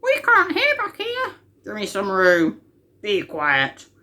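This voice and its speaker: A woman's voice: mid-range voice, wildly swooping pitch, slow, bright, forceful tone, exaggerated voice. A woman voicing agitated storybook crowd members and disciples, exclaiming in an urgent, pleading way. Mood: impatient complaining. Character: jostling, frantic crowd members and frightened disciples.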